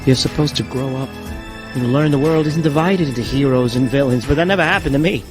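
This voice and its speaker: An actor playing a scrappy, embittered comic book artist in an audio drama, punchy and defiant. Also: male voice, mid-range voice, quick pace, lightly acted. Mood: bitter. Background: dramatic music.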